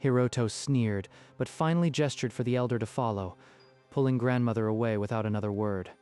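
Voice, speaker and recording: A man's voice: mid-range voice, brisk, warm tone, clean tone. A man narrating a scripted dramatic story in a literary storytelling style. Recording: music behind the voice.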